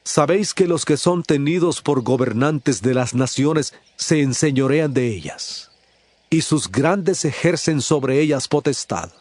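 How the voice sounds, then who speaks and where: An actor playing an authoritative male teacher addressing his disciples in a solemn, measured, declamatory way in a dramatized scripture reading. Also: fairly high pitch, expressive, a little mellow, no echo. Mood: firm, teaching.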